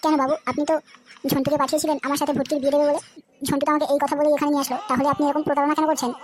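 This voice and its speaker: Woman voicing a high-pitched, fast-talking cocky young cartoon guy. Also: level tone, a little mellow, close to an everyday voice. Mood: pleading, sly. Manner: boastful, teasing, crude rhyming banter. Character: cocky, giggly young male suitor, foul-mouthed and lovestruck. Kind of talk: comedy cartoon dialogue.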